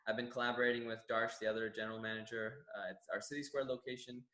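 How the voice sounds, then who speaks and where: A young man from Canada speaks earnestly about his work, often restarting his sentences as he thinks. His voice is bright and forward at a middle pitch, and it rings in an echoey room. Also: flat delivery, fast. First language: Farsi. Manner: earnest, reflective, with frequent restarts. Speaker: gym general manager. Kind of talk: staff profile interview answers.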